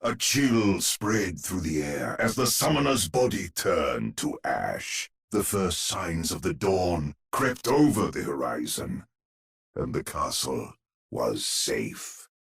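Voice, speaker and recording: Slow, deep, husky gothic storyteller. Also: male voice, very animated, a bit bright, exaggerated voice. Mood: ominous, dramatic. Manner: dramatic, ominous, theatrical. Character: grave old-world storyteller of a dark Halloween tale. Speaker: story narrator. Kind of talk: scripted gothic storybook narration for a game.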